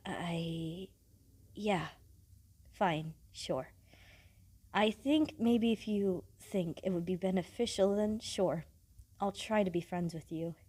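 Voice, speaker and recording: A young woman playing a blunt, guarded, socially awkward studious schoolgirl in an audio roleplay, with a halting, matter-of-fact manner. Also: medium pitch, a little mellow, no room sound. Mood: flustered, disbelieving. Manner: halting, hesitant, matter-of-fact, formal wording. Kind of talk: scripted audio roleplay, addressing the listener directly.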